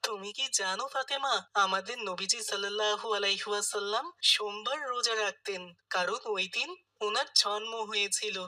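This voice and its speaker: A woman's voice: medium pitch, brisk, bright, forceful tone, natural voice. A woman voicing a caring, devout boy, a reassuring big brother in a children's cartoon. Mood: reassuring, instructive. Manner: reassuring, instructive. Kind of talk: scripted children's cartoon dialogue.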